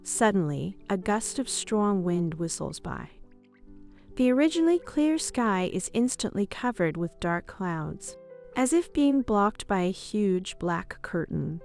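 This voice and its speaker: A woman narrates a bedtime story for young children in expressive read-aloud fashion. Her voice sits at a medium pitch for a woman, and music plays behind her. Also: measured pace, gravelly.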